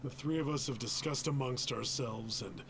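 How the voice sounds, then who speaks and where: Gravelly, reserved young man voiced by an actor. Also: mid-range voice, normal speed, noisy background, lightly acted. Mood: wistful. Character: reserved young man, loyal childhood friend, wistful. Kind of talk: video game voice acting.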